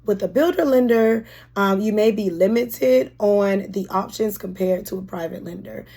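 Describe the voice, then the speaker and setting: Hoarse, chatty woman realtor. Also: mid-range voice, normal speed. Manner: chatty and warm, with frequent ums and restarts. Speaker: real estate agent. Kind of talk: casual explainer video.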